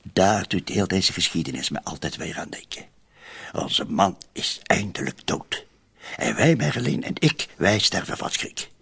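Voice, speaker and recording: Suspicious Flemish plotter, lowish husky voice, widely swinging intonation, insistent, conspiratorial, radio play. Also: male voice, big pitch swings, measured pace, a bit bright, full character voice. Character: scheming, suspicious male conspirator on crutches. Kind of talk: radio drama acting.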